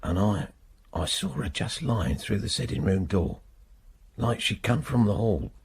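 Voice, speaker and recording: A haunted working-class English man in a radio drama. His voice is low and very rough, and it swings widely in pitch as he talks in a halting, plain-spoken way. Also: very animated, a little mellow, heavily acted. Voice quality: husky, gravelly. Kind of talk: naturalistic radio drama acting.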